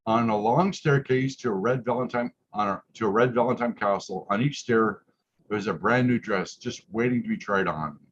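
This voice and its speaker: A man's voice: on the low side, moderate pace. A man who is a drag queen reading aloud at story time, stumbling over words at times and chatting casually between passages with the odd "eh". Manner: tags remarks with "eh". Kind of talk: story time read-aloud with chanted refrains and casual asides. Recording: muffled lo-fi audio with clear background noise.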